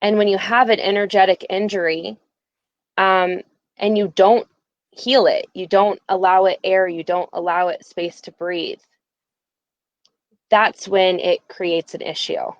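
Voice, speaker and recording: A woman's voice: medium pitch, fairly even intonation, unhurried, no echo. Woman host, eager and emphatic, dull lo-fi sound. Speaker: podcast host. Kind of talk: casual spiritual conversation.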